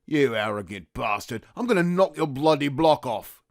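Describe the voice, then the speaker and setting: An actor playing a resentful working-class teenage soldier in an audio drama, with a Cockney accent and a blunt, mocking manner. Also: male voice, mid-range voice, brisk, dry recording, studio quality, natural voice. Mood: angry.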